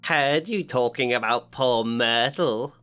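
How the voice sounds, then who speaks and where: A man's voice: medium pitch, slow, fairly bright tone, clean tone, soft background music. A man voicing a mischievous male poltergeist who taunts slyly. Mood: sly taunting. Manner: sly, drawling taunts.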